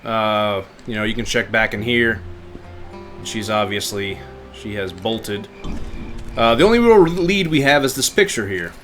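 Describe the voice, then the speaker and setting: A man's voice: fairly low pitch, expressive, unhurried, fairly bright tone. A man giving casual playthrough commentary as a video game streamer. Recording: game music behind the voice. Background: video game audio.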